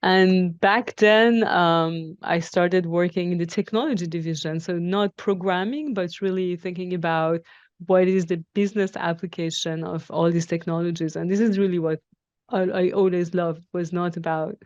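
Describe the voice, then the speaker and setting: A woman's voice: fairly low pitch, steady tone, normal speed, darkish tone, dry recording, dull audio. A woman in her forties from Iran and France, answering interview questions conversationally and reflectively, rephrasing as she explains. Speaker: interview guest, technology professional.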